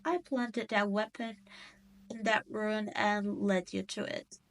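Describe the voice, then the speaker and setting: A woman's voice: mid-range voice, fairly even intonation. A woman playing a cruel, arrogant demon queen in a roleplay audio drama, teasing and condescending as she speaks straight to the listener. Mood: teasing, commanding. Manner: teasing, condescending, drawn-out delivery. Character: cruel, arrogant adult female demon queen, mocking and possessive.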